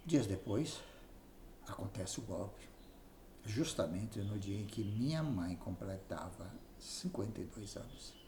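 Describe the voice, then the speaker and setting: A man with a Brazilian accent narrating a written memoir aloud in an expressive reading style. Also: lowish voice, slow. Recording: roomy echo, faint background noise.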